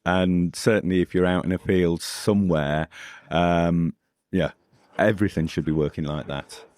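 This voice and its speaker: A man's voice: deep voice, steady tone, moderate pace, a little mellow, no room sound. A British man co-hosting a casual podcast interview, hesitant and stumbling over his words. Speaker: podcast co-host. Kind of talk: casual trade show interview.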